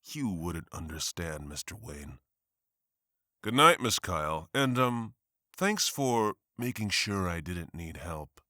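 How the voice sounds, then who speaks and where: An actor playing a defiant district attorney in an animated comic, sardonic and forceful. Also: male voice, low-pitched, slow, no echo, studio quality, heavily acted. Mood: bitter sarcasm.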